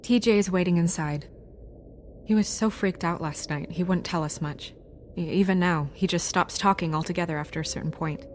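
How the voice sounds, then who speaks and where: A woman's voice: on the low side, moderate pace, a little hiss or hum, close to an everyday voice. A woman playing a poised, determined fairytale office administrator who is defiant yet caring, in naturalistic game acting. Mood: earnest, worried.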